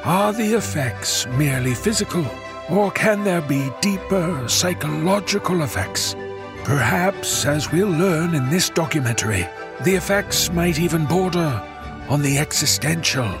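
An actor playing a genteel, pompous radio documentary host, speaking in a formal, measured and solemn scripted style. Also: male voice, on the high side, expressive, music in the background. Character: Forsyth Mercer, genteel male radio documentary presenter.

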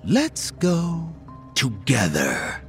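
An actor playing a calm, inhuman man in a horror audio drama, mildly amused and quietly menacing, speaking in a measured, softly taunting way. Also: medium pitch, soft background music, full character voice. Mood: teasing. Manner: slow, measured, softly taunting, dropping toward a whisper.

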